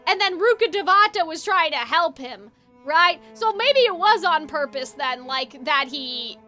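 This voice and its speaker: A woman's voice: very high-pitched, expressive, a little slow, pushed and bright. A woman game streamer chatting casually, musing aloud in a rambling way over game music. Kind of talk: casual livestream chat. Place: livestream over a video game.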